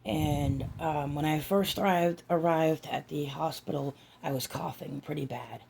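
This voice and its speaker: Hoarse raspy lady vlogging real slow and hushed, lots of ums, bit of faint hiss. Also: low-pitched, steady tone. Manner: soft, hushed, near-whispering; halting, many ums and restarts. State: hoarse, raw throat. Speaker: doll-collecting vlogger. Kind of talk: casual vlog chat.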